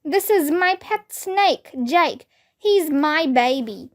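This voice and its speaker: A woman's voice: sits high, animated, takes it slowly. A woman voicing a batty, doting female school secretary in a storybook, cheerful and reassuring.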